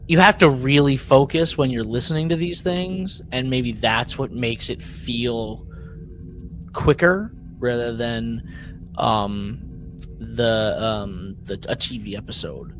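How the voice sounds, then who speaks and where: A man co-hosting a podcast in casual chat, rambling and halting, often repeating words as he works out a point. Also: medium pitch, a bit bright, soft background music. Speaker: podcast co-host.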